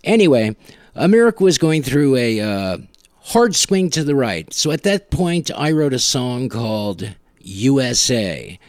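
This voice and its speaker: A man's voice: mid-range voice, very animated, darkish tone, no echo. Wry middle-aged man hosting, dry close sound. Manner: wry, self-deprecating, halting. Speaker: podcast host and musician. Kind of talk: casual music show hosting.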